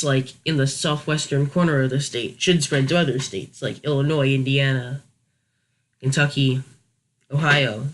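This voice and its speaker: A young man with a slightly high voice talks through a casual review. He sounds unscripted and a little hesitant, working out his opinions aloud as he speaks. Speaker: YouTube reviewer.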